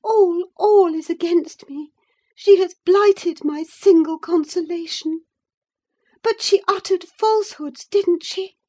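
An infatuated young girl, protests in a very high, forceful voice. She is plaintive, indignant and passionate, as a storybook heroine. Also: measured pace, dry recording. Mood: tearful, wounded.

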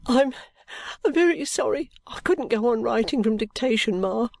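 A woman voicing an affectionate, tearful young bride in an audiobook, pleading and halting with emotion. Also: on the high side, animated, no room sound, studio quality. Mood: sorry, tearful. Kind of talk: audiobook character acting.

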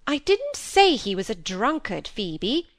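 A woman's voice: medium pitch, very animated, normal speed, fairly bright tone, no echo, clean studio sound, pitched above the performer's own voice. A woman voicing a stern, bossy older spinster in a storybook, speaking in an emphatic, sententious way. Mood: pettish. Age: middle-aged or older.

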